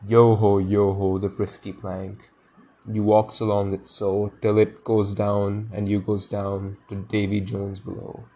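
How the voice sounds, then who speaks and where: A man's voice: lowish voice, monotone, measured pace, dark tone. A man voicing a villainous storybook pirate captain, brazen and mocking, declaiming in a taunting sing-song. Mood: brazen, gloating. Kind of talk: storybook character voice.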